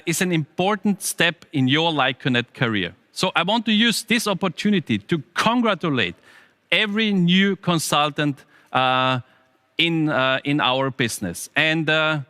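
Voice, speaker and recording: A man presenting as host of an online business event, speaking in a hesitant, halting way. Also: on the high side, sharp tone, dry recording. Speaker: show host.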